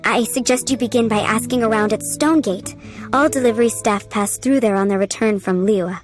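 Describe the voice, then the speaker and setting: A woman voicing a courteous, composed head housemaid in a video game, with polite and measured formal speech. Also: fairly high pitch, soft background music, close to an everyday voice. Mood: concerned. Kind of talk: video game character acting.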